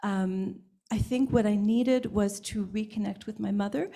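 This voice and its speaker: A woman's voice: mid-range voice, steady tone, measured pace, gravelly, mellow. A woman panelist answering a question in a candid, reflective way. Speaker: symposium panelist.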